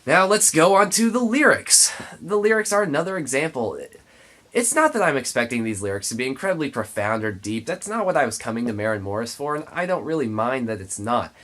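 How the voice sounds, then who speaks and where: A man hosts a spoken music review in a stuffy, nasal voice. His pitch is middling but moves around in a very lively way. He talks emphatically and states his opinions plainly. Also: a little fast. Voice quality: congested, nasal. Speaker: music reviewer, show host. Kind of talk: spoken album review to camera.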